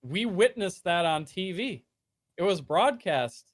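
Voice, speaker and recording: A man's voice: high voice, sing-song, takes it slowly, no echo, studio quality. A man in his late twenties from the United States, talking earnestly in a casual conversation as a streamer guest, with many restarts and fillers. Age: 20s.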